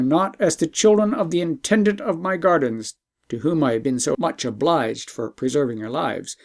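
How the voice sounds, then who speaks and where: A dignified, fatherly emperor, as put on by a narrator speaks in a stately storybook manner. The phrasing is formal and emphatic, moving freely in pitch with a creaky edge. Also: darkish tone. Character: dignified Persian emperor, gracious, credulous, fatherly.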